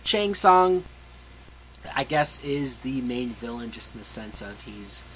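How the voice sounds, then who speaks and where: A man's voice: mid-range voice, animated, takes it slowly, background noise. A man reviewing a movie in a casual, opinionated way, with emphatic, blunt and sweary delivery. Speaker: film reviewer.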